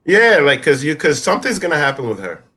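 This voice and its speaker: Bright-voiced man, rambling casually. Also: a little high, big pitch swings, fast talker, breathy edge. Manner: rambling, repeats phrases. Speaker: panelist. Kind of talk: casual movie review discussion.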